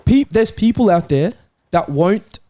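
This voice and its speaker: A man's voice: high-pitched, lively intonation, a little slow, warm tone, dry recording. A man in a casual, insistent sports debate, arguing his point as a talk show panelist. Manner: argumentative, insistent.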